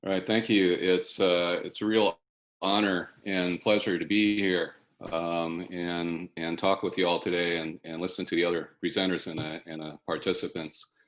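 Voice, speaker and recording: Older man in his sixties, deep flat voice, halting with restarts, speaking on a video call. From South Dakota, US. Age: 60s. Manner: measured, halting, with many restarts. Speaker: panelist, fire scholar. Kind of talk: online panel presentation. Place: home basement.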